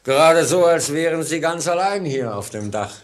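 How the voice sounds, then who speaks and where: An actor playing a menacing lift attendant in an old German radio play, hesitant and insinuating behind feigned politeness. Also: male voice, a little high, expressive, moderate pace, close to an everyday voice.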